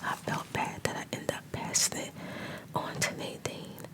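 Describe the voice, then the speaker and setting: A woman, getting over a cold, telling a rambling story in a fully unvoiced ASMR whisper. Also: normal speed. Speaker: ASMR channel host.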